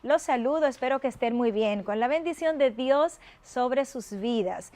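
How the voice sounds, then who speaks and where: A woman's voice: high voice, animated, normal speed, darkish tone. Effusive woman hosting faith show. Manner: effusive, reverent, lingering on pious phrases. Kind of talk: warm devotional interview.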